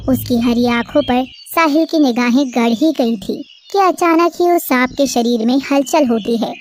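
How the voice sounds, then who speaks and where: A woman narrating an animated story in a steady storytelling cadence. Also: high voice, slight background noise.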